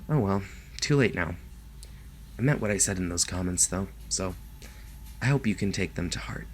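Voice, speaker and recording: A man playing a lovestruck, possessive kidnapper in an ASMR roleplay, speaking softly and haltingly to the listener, sometimes close to a whisper. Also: low-pitched, slight background noise. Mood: smitten, rambling. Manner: soft, gentle, halting, sometimes dropping to a whisper. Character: lovestruck male yandere kidnapper, doting, possessive, awkwardly reassuring.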